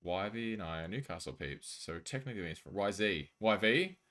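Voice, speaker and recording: A man's voice: fairly low pitch, lively intonation, fairly quick, a bit bright. An Australian man live streaming, chatting casually and jokily with viewers as he reads out their messages.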